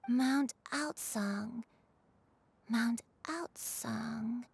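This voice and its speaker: A woman playing a frail, forgetful old woman in a video game, gentle and weary, with a halting, breathy delivery. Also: on the high side, no echo, heavily acted. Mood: troubled.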